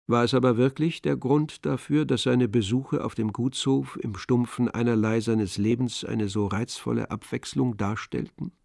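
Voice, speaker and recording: A man narrating a literary story in a measured, descriptive manner. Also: medium pitch, steady tone, creaky, darkish tone, no echo.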